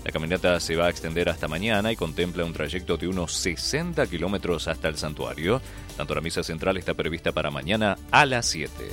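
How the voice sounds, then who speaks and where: A man's voice: low-pitched, fairly quick, faint music behind. A man reading a formal radio news bulletin. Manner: formal, steady read. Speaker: radio newsreader.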